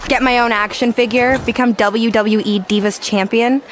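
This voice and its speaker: Sneering high school bully girl played by an actress, noisy backdrop. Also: fairly even intonation, moderate pace, lightly acted. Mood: mocking, taunting. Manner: mocking, taunting. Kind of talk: scripted video game cutscene acting. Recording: clearly noisy background.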